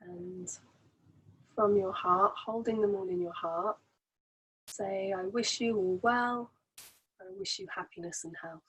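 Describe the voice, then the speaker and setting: A woman guides a meditation at a very slow, unhurried pace. Her voice is soft-edged and breathy, at a medium pitch for a woman. She gives each instruction gently and soothingly, as a relaxation teacher does. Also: animated, poor audio.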